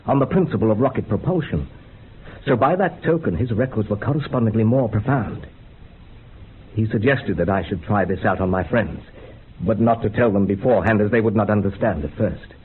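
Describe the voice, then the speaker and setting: Radio horror lead: unhinged occult experimenter, theatrical and feverish, highly animated pitch, muffled thin recording. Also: male voice, husky. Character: unhinged man confessing a grisly occult experiment. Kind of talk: radio horror drama monologue. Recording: muffled phone-like sound with faint hiss.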